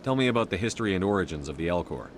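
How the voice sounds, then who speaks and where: An actor playing a composed human military commander in a video game, speaking plainly and directly. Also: male voice, low voice, expressive, very fast, a little hiss or hum. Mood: calm, inquiring.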